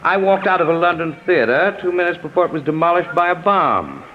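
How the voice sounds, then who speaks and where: An actor in a 1940s radio drama playing a cold, commanding, mocking brother, curt and imperious. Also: male voice, fairly high pitch, lively intonation, a little fast, natural voice. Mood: mocking, stern. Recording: dull, noisy 1940s radio recording.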